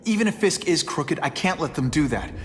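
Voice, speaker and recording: An actor playing a young male hero, wisecracking and energetic, who works as a part-time photographer. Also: a little high, brisk, over music, natural voice. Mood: quippy. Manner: quippy, energetic.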